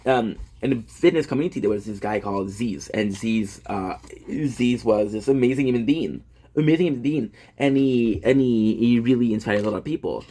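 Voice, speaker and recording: A man's voice: mid-range voice, a little fast, mellow. A man in his thirties, a gaming content creator, talking in a rambling, self-deprecating monologue full of fillers and restarts. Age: 30s.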